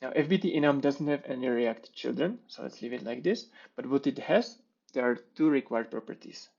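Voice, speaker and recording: A man who speaks natively. He explains a coding demo in a steady, step-by-step way and sometimes restarts a phrase. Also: mid-range voice, a little fast, vocal fry, dull audio. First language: Czech. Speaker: conference speaker. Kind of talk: live-coding tech talk.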